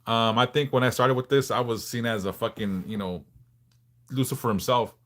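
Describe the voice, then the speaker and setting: A man in his forties from Southern California, hosting a casual livestream and answering viewers in a frank, laid-back way with halting restarts. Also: mid-range voice, steady tone, a bit bright. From Los Angeles, California. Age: 40s. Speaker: livestream host.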